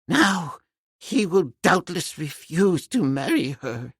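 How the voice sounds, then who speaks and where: A man's voice: fairly high pitch, very animated, takes it slowly, fairly bright tone, breathy edge, no room sound, clean studio sound, voice pushed up, exaggerated voice. A man doing a flustered old professor. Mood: agitated. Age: older. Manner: formal, indignant, repeats "sir". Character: fussy elderly male professor, proud and flustered. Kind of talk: audiobook character voice.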